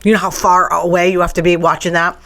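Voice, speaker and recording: A woman's voice: on the low side, level tone, quick pace, a bit bright. Woman hosting a chat show, blunt and sarcastic, voice kinda strained and worn out. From New England. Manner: chatty, blunt, sarcastic rants. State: strained, nearly lost voice. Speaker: podcast host. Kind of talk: casual talk show chat.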